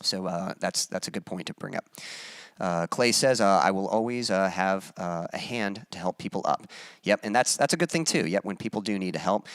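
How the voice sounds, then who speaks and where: A man hosting his own music live stream, chatting casually with viewers and reading their comments aloud, with many pauses and fillers. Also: sits low, no room sound. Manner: relaxed, halting, many fillers, reads chat aloud.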